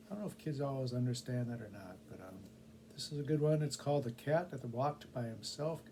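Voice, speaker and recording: A man's voice: medium pitch, noisy background. A man with a casual, conversational manner, chatting and narrating a storybook as a volunteer reader.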